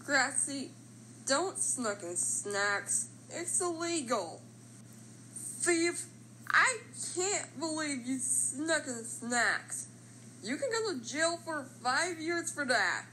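Dramatic cartoon shampoo bottle played by a woman: high, lively voice, exclamatory delivery, some background noise. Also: slow pace, full character voice, rough recording. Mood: scolding, soothing. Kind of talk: animated character voice lines.

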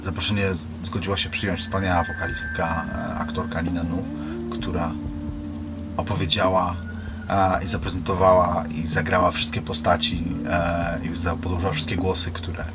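A man presenting his own creative project as its musician and creator, in halting, hesitant phrases. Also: deep voice, little pitch movement, heavy background noise.

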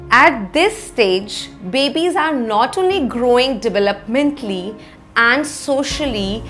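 A woman in her thirties with an Indian accent presents an explainer lesson to camera, phrasing things deliberately and with emphasis. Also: fairly high pitch. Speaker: parenting educator, presenter. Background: background music.

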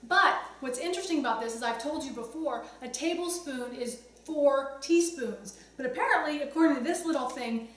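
A woman's voice: high-pitched, normal speed, hardly any pauses, fairly bright tone. A middle-aged woman giving a casual, conversational cooking demonstration to camera in her home kitchen, explaining things with chatty asides. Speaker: cooking instructor, host. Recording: roomy echo, distant mic, some background noise.

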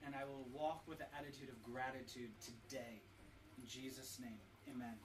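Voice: medium pitch, fairly even intonation, normal speed. A parent leading a family devotional and prayer, giving short instructions and prompts. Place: at home with family. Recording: noisy home video recording.

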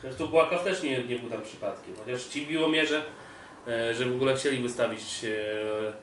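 A man's voice: mid-range voice, moderate pace, fairly bright tone. A Polish man co-hosting a sports podcast, chatting casually and chiming in conversationally. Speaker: podcast co-host. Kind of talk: casual sports chat, interjecting. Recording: distant mic, roomy echo, background noise.

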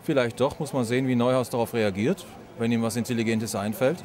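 A man's voice: mid-range voice, fast, darkish tone. A man giving casual answers in a street interview outdoors. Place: outdoors among fans.

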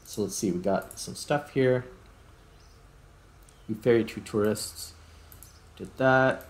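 A man giving casual gameplay commentary, thinking aloud in short, unhurried phrases. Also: on the low side. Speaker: gaming YouTuber, player commentating.

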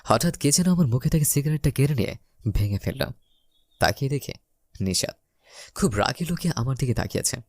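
A male storyteller reads a scripted romantic tale in the first person. His voice is medium in pitch with a dry, echo-free sound, and he acts out lines of dialogue. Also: animated, brisk, long pauses, a little mellow. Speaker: story narrator.

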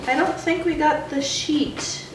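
A woman's voice: sits high, fairly even intonation, a little slow, recorded from a distance, noisy background. A young woman with a congested head cold, chatting casually while she unboxes a gift box and talks to her dogs. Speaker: vlogger unboxing dog gifts. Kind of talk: casual chat. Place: small bedroom, seated on a couch. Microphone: camera mic at a distance. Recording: roomy, off-mic, with background music.

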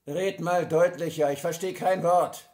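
Man acting a sneering kidnapper, threatening, fairly high male voice, crime radio play. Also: fairly even intonation, a little slow, darkish tone, lightly acted. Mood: menacing.

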